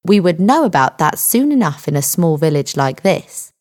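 A woman voicing a male police sergeant in a story, friendly but condescending and dismissive, with measured delivery. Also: sits low, big pitch swings, a little mellow. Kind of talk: audiobook character dialogue.

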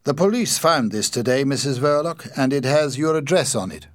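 A man voicing a firm, probing chief inspector in an audiobook, speaking in a measured, formal, insistent way. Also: medium pitch, animated, no echo, clean studio sound, pitched above the performer's own voice, lightly acted. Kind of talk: audiobook character dialogue.

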